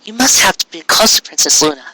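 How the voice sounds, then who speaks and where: A man voicing a kind, understanding adult female pony with a gentle, reasonable manner in a story reading. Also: high-pitched, lively intonation, brisk, voice pushed up, full character voice, poor audio. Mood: sympathetic.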